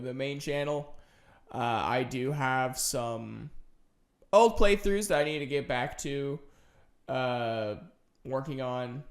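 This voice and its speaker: A man hosting a solo podcast, chatting casually and unscripted in a rambling, halting way. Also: mid-range voice. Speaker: podcast host and gaming video creator.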